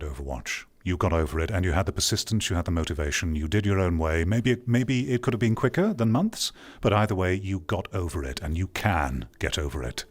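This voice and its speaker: Low-pitched man, blunt and opinionated. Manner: blunt, emphatic, deliberate phrasing with repetition for stress. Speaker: host, online commentator and streamer. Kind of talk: opinionated monologue to an audience.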